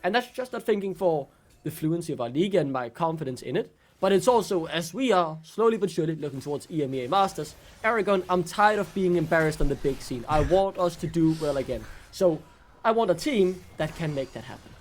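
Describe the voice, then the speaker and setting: A man's voice: fairly high pitch, expressive, brisk. A man whose first language is Danish, casting a live esports match in chatty commentary and quizzing his co-caster.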